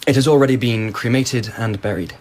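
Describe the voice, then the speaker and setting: An actor playing a curious, easily delighted emperor in a radio drama, genial but commanding. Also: male voice, mid-range voice, a little fast, darkish tone, lightly acted.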